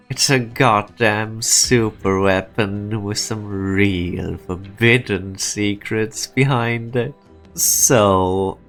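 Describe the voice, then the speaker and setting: A man voicing a calculating, sardonic and menacing executive in a game fan dub, speaking deliberately. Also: lowish voice, fairly bright tone, exaggerated voice. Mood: grim, pressing. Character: calculating male corporate executive, sardonic, menacing, pressing for truth. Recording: music behind the voice.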